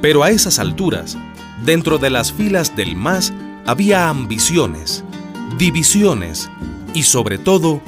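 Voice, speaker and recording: A man reading scripted radio drama narration in a dramatic, clearly phrased way. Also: a little high, big pitch swings, takes it slowly, music in the background.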